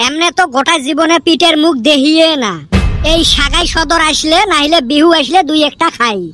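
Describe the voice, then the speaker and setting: Woman voicing a very high, whiny cartoon village youngster. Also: moderate pace, lightly acted. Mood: whiny pleading. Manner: whining, complaining, scolding. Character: whiny, cheeky, food-obsessed village youngster craving pitha cakes. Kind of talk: comic cartoon dialogue in village dialect. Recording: music bed under the voice.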